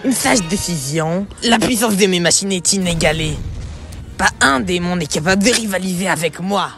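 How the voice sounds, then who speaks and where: Casting: grandiose demon machinist boss, slow self-important delivery, music behind. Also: male voice, high voice. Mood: boastful. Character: pompous boastful male demon machinist warlord. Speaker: fan dub actor.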